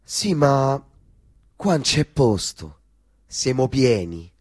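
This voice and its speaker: A crude Roman tough guy in a stage play. He has a middling male pitch and speaks in blunt, mocking dialect with a Roman accent, needling whoever he talks to. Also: big pitch swings, slow, a little mellow, slightly rough, heavily acted. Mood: blunt, teasing. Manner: coarse, blunt, teasing.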